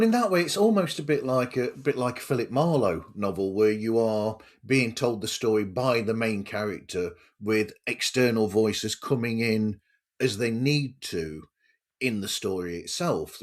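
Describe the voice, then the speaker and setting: A man hosting a casual podcast interview, talking discursively and rephrasing himself as he goes. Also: on the low side.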